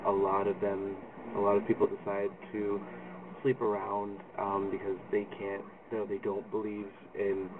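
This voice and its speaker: A man's voice: fairly low pitch, vocal fry. A young man, talking candidly and rambling with lots of ums in a personal video blog. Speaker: video blogger. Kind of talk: personal vlog monologue. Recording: distant lo-fi phone-like audio with loud background noise.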